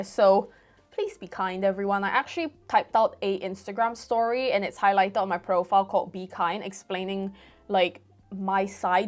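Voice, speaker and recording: A woman in her twenties with a Singaporean accent tinged with British, chatting casually to camera and rambling as she answers viewer questions. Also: medium pitch. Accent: Singaporean with a light British mix. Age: 20s. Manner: chatty, rambling, self-correcting. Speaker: golf YouTuber. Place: room at home with football shirts on the wall.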